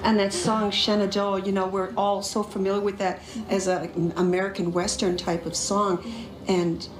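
A woman's voice: mid-range voice, level tone, moderate pace, roomy sound, background noise. A woman hosting a TV fundraising break, interviewing singers outdoors with an emphatic, gushing manner. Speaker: pledge drive host. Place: outdoors on castle grounds by a lake.

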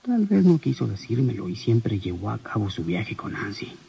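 A man's voice: fairly low pitch, sing-song, normal speed, vocal fry, warm tone, breathy edge, exaggerated voice. An actor playing a nervous gangster henchman who mutters agitatedly to himself in an old radio serial. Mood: fearful, brooding. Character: nervous gangster henchman, fearful of his boss. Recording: noisy old radio drama recording.

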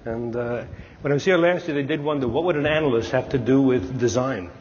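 A man's voice: mid-range voice, brisk, darkish tone, a little hiss or hum. A man, an analyst giving an emphatic, storytelling talk at a podium on a lapel mic. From Brooklyn, New York. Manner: storytelling, emphatic, gestures with his hands. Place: large dark stage, lecture hall. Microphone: clip-on lapel mic. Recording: lo-fi recording with long hall reverb.

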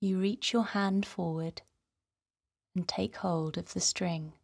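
A woman hosting a guided meditation for children, speaking in a gentle, soothing, softly breathy way. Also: fairly low pitch, fairly even intonation, slow pace. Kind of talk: guided relaxation narration for children.

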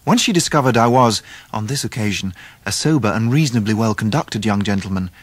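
A grown gentleman narrating his youth in a radio drama. He is measured and wry, in a lowish voice recorded very dry. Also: animated, no room sound. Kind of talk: scripted literary narration.

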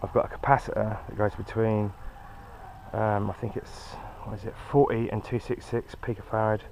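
A British man with a fairly low voice talks casually as he tinkers outdoors. He rambles and half mutters to himself like a hobby video host. The action camera picks up faint noise behind him. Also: little pitch movement, normal speed, creaky, darkish tone, poor audio. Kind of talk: casual hobby vlog chat. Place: outdoors in a garden. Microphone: handheld action camera.

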